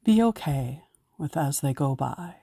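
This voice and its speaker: Slow, low-voiced woman guiding meditation. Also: lively intonation, breathy edge, dry recording, clean studio sound. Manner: gentle, unhurried, soothing instruction. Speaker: meditation guide. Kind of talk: guided meditation narration.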